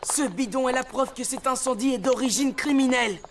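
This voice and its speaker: A man's voice: very high voice, fairly quick, a little hiss or hum. An actor playing a determined young male journalist in a French cartoon, earnest and resolute in manner. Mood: indignant. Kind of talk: French animated series acting.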